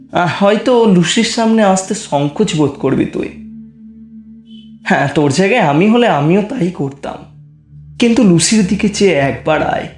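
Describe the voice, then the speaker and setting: A worried young gentleman, played by a man, pleads earnestly through a letter in a horror audio drama. His voice sits fairly high, with roomy reverb and faint music behind it.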